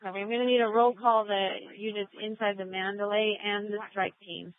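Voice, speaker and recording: A woman's voice: medium pitch, flat delivery, fairly quick, no echo. A woman working as a police dispatcher, relaying procedural information over radio and repeating key details. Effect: police radio transmission. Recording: tinny narrow-band police scanner audio.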